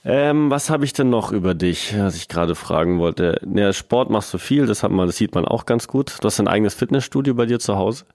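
A man's voice: fairly low pitch, lively intonation, fairly quick, hardly any pauses, a little mellow, dry recording, clean studio sound. A man hosting a podcast interview, chatty and teasing, often stumbling over his words. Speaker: podcast host. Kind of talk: casual interview chat.

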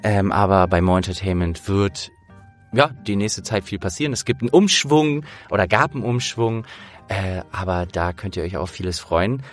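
A man's voice: low voice, animated, normal speed, soft background music. A man hosting a podcast in a casual, chatty way, feeling for his words as he goes. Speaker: podcast host and producer.

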